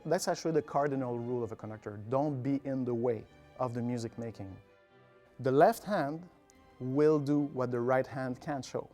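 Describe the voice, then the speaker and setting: A man's voice: medium pitch, darkish tone. A man, an orchestra conductor, explaining things instructively with examples and analogies in interview answers. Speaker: orchestra conductor, artistic director.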